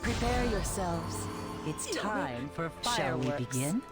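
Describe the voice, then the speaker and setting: A woman's voice: on the low side, very animated, normal speed. A woman playing a cocky, menacing fire-wielding fighter in an anime game, taunting, with music behind. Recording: game audio under loud music.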